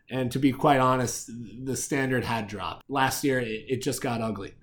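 Opinionated man hosting a sports show. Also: medium pitch. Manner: opinionated, slangy. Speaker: host of a rugby picks show. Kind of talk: sports show commentary and picks.

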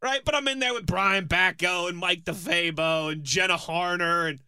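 Bright-voiced teasing sports-show co-host. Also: male voice, high voice, normal speed, clean tone, no echo, clean studio sound. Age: 30s. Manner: teasing, chatty anecdote-teller. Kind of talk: casual sports radio banter, storytelling.

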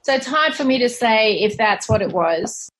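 A woman's voice: on the high side, expressive, normal speed. A middle-aged woman with a South African accent, chatting earnestly and a little hesitantly as a podcast guest. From Zimbabwe and South Africa. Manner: earnest, hesitant, self-deprecating, laughs softly. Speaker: cleaning company cofounder, guest. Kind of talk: casual interview chat.

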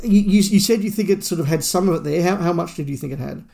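A man's voice: high-pitched, fast talker, creaky, dark tone. A man co-hosting a podcast, casually discussing and reviewing a book, feeling his way through his phrasing with repeated words. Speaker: podcast co-host.

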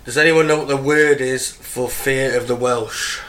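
A man's voice: medium pitch, steady tone, normal speed, fairly bright tone, poor audio. A man co-hosting a podcast, reading out trivia facts in a plain, matter-of-fact way. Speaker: podcast co-host.

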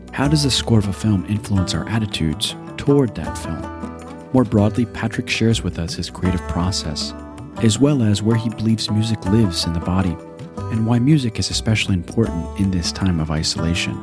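A male podcast host reading a measured, scripted introduction and then asking his guest questions. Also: fairly low pitch. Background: background music.

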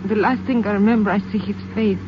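An actress playing a frightened, injured young Mexican woman in a 1950s radio drama, speaking with a Mexican Spanish accent and a breathy voice that falters with pain. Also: medium pitch, steady tone, fast talker, natural voice. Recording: muffled old radio broadcast with hiss.

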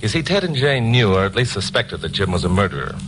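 A man's voice: fairly low pitch, big pitch swings, fast talker, background noise. A man acting a cool, sardonic investigator hero in a 1950s radio crime drama. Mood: breezy, teasing. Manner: sardonic, breezy banter. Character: wisecracking hard-boiled male investigator hero, cool under threat. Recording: old 1950s radio recording with music.

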